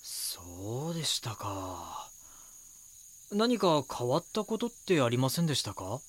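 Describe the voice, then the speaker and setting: An actor playing a young magazine reporter in an audio drama, polite, earnest and inquisitive. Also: male voice, medium pitch, sing-song, unhurried, breathy edge. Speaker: magazine reporter and first-person narrator. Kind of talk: Japanese audio drama dialogue and narration.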